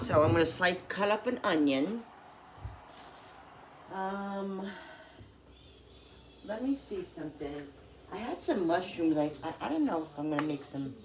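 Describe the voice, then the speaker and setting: Low-voiced woman with a stuffy cold. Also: slight background noise. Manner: rambling, halting, many fillers. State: congested with a cold. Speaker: cooking vlogger. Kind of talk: casual chat while cooking. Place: home kitchen.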